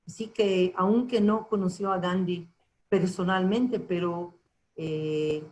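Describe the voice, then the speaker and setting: A woman from Argentina answers questions in a slow, halting way, her pitch sitting on the low side. She keeps pausing and restarting while she searches for the right words. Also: steady tone, a little mellow, low-quality recording. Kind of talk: answering questions on a video call. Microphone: video call.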